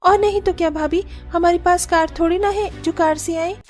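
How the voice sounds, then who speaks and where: Woman doing a high, whiny young student girl. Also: fairly even intonation, normal speed, soft background music. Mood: upset, complaining. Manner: whiny, complaining. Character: young student sister-in-law, whiny and pleading. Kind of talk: Hindi cartoon story dialogue.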